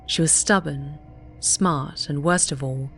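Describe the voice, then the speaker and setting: A woman reads scripted fiction as an audiobook narrator. Her voice sits low, and her delivery is measured and dramatic. Faint music plays behind her. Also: fairly bright tone.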